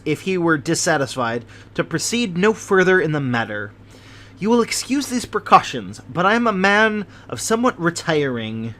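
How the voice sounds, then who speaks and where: A man voicing a fussy, nervous older gentleman with a prim, precious way of talking. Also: a little high. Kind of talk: audiobook character voice.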